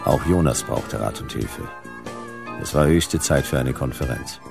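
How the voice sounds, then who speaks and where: Noir detective, extremely low voice, creaky gravel, terse and laconic, sci-fi radio play. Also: male voice, a little slow, music in the background, full character voice. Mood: wry, laconic. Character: gruff, hard-boiled male detective, down on his luck. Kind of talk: German sci-fi radio drama acting and narration.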